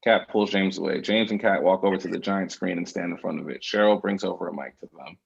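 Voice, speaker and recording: A man's voice: deep voice, quick pace, gravelly, husky, muffled recording. A man reading stage directions as the narrator of a screenplay.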